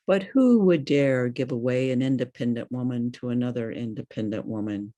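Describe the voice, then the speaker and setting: An older woman reading poetry aloud in a deliberate, questioning manner. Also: very deep voice, dark tone. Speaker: poet.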